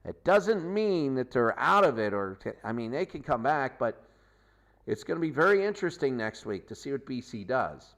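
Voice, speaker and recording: A man's voice: mid-range voice, sing-song, normal speed, a bit bright. Man rambling through a football recap. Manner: rambling, self-correcting, lists stats. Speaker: sports show host. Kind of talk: unscripted football recap commentary.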